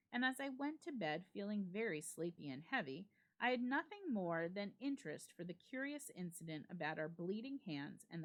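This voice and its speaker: Female narrator, mid-pitched and very varied in melody, for dramatic literary storytelling; measured, emphatic phrasing. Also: fairly bright tone. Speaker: library staff reader. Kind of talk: dramatic reading of a classic ghost story.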